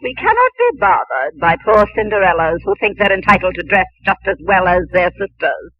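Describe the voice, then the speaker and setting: A woman's voice: mid-range voice, very animated, brisk, dry recording. An actress playing a cold, bossy middle-aged stepmother who scolds imperiously in sing-song rhyme, in 1950s radio drama style. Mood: cold, dismissive. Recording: dull, dry 1950s radio recording.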